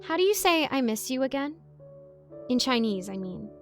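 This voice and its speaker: A woman voicing an anxious, halting young woman in a dramatic horror story. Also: high-pitched, big pitch swings, soft background music. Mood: shy, fond. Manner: halting, pleading. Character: anxious lovestruck young woman texting her boyfriend.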